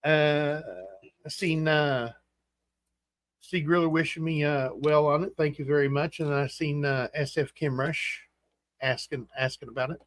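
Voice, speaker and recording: Man in his forties, host, rambling chat, slightly high voice, dry close sound. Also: steady tone, no room sound. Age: 40s. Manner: rambling, halting with fillers and restarts. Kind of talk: casual livestream chat.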